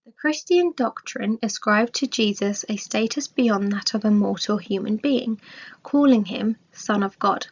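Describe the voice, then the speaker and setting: A woman reading a scripted religious study aloud in a measured, formal manner. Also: mid-range voice, breathy edge. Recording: dull, lo-fi recording.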